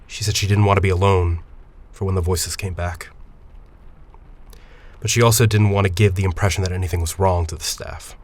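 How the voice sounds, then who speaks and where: A man playing a composed, persuasive university headmaster in an audio drama, speaking in a formal, deliberate way. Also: low-pitched, steady tone, a little hiss or hum, natural voice. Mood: somber, confiding. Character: composed, persuasive university headmaster, grieving a colleague, quietly calculating.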